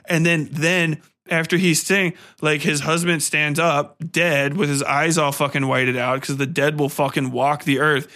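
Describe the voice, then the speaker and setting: A man hosting a podcast, giving a casual, rambling, slangy film review full of swearing and animated, dramatic storytelling. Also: a little high, measured pace, hardly any pauses. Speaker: podcast host.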